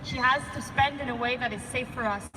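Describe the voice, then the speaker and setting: A woman's voice: high voice, brisk, distant mic. A young woman in her twenties giving an impassioned outdoor rally speech as a climate organizer, speaking over a public address system. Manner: impassioned, emphatic. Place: outdoor street gathering. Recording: outdoor PA with long reverb and street noise.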